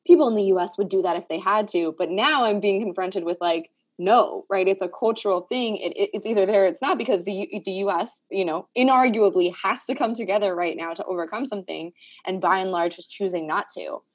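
A woman's voice: mid-range voice, fairly quick, few pauses. A woman hosting a casual talk, reflective and thinking aloud with many "like" fillers. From Puerto Rico. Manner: reflective, heavy use of "like" fillers. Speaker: podcast host. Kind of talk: casual conversational discussion.

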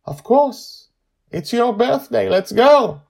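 A kindly young man, put on by a male storyteller, lifted high above a gravelly register. He sounds gentle, eager to please and reassuring, as in a storybook. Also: expressive, slow pace, heavily acted. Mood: warm, indulgent.

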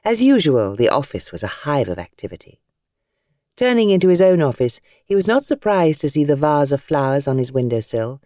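A British woman reading fiction as an audiobook narrator, with measured, expressive, dramatic storytelling. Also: low-pitched, no echo, clean studio sound.

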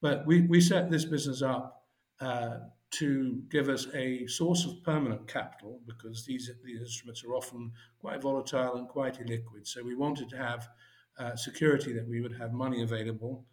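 A man answering interview questions in a measured, explanatory way with frequent hesitations. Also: medium pitch, fairly even intonation, a little mellow. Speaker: guest, fund adviser chairman. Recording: roomy echo.

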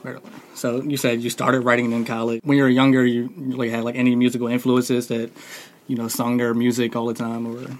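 A man's voice: mid-range voice, completely flat delivery, a little fast, a little hiss or hum. A man hosting a casual podcast, laid-back and joking, with lots of fillers. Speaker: podcast host.

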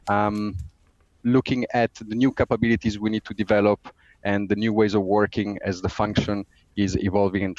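A middle-aged man with an Italian accent, a finance executive giving a podcast interview, talking haltingly with many fillers and restarts. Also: lowish voice, dry recording, highs cut off. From Italy.

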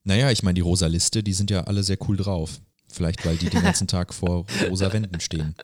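Low-voiced man in dry studio sound. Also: steady tone, brisk, dry recording. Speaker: podcast co-host. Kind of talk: casual chat.

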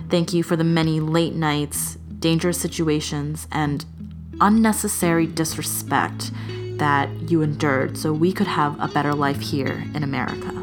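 A woman reading a scripted, earnest narration in a measured way. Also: deep voice. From Chinese heritage.

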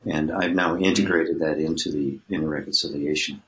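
A man's voice: extremely low pitch, steady tone, a little fast. A mature man speaking as an interview guest, explaining his personal-growth teaching in a reflective, conversational way with frequent restarts.

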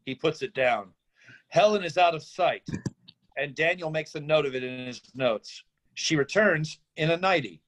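A man's voice: on the high side, moderate pace, fairly bright tone, rough recording. A man narrating a screenplay, reading out stage directions as scripted narration.

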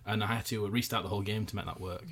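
A man's voice: on the low side, fairly even intonation, very fast, a bit bright, breathy edge. A man hosting a casual chat, playful, laughing often and apologetic. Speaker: podcast host.

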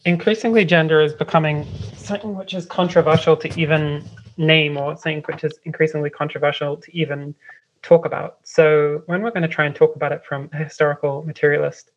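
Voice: medium pitch, fairly even intonation, brisk, highs cut off, a little hiss or hum. A guest from London, a writer and editor, explaining ideas in a loose, meandering way full of "um" and "like" and light laughs. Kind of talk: casual remote interview explanation.

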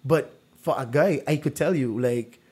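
A man's voice: medium pitch, normal speed, slightly rough. A man hosting a casual true-or-false quiz, telling stories with lots of "like" fillers. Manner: animated storyteller, many "like" fillers. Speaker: quiz host.

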